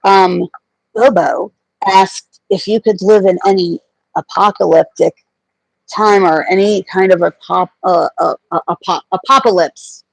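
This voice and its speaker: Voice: medium pitch, fairly even intonation, a little slow, gravelly, no room sound, poor audio. A middle-aged guest on a casual livestream chat, speaking hesitantly with frequent stammers and restarts. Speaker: podcast guest.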